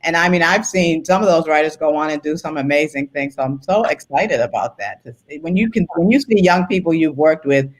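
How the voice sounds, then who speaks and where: A woman's voice: low voice, expressive, a little fast, few pauses, rough recording. A woman in her sixties talking casually as an interview guest, reflective and enthusiastic. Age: 60s. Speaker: author and writing teacher, interview guest.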